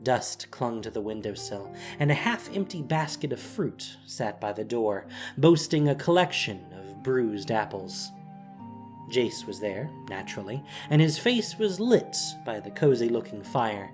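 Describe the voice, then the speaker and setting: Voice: medium pitch, very animated, unhurried, over music. A narrator reading a scripted fantasy story in a dramatic storytelling style.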